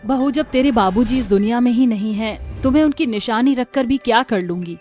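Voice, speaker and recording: A woman voicing a determined widowed mother-in-law in an animated moral story, speaking earnestly and sentimentally. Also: a little high, brisk, faint music behind, lightly acted. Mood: resolute.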